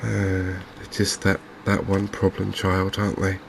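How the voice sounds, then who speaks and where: A man hosting a gaming video in a softly spoken ASMR commentary style, unhurried and hesitant, musing to himself. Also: deep voice, completely flat delivery, creaky, noisy background.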